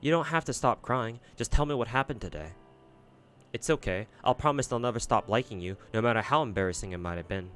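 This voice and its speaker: Brief: tender, soothing guardian, soft-spoken, played by a man. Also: mid-range voice, a little fast, natural voice. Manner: soft, quiet, reassuring.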